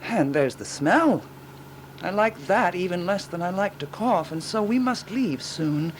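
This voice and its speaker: A woman's voice: lowish voice, lively intonation, a little slow, slight background noise, low-quality recording. An actress playing a fussy, self-important, eccentric woman sleuth in a radio drama, theatrical and given to pondering aloud. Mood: pondering, decisive.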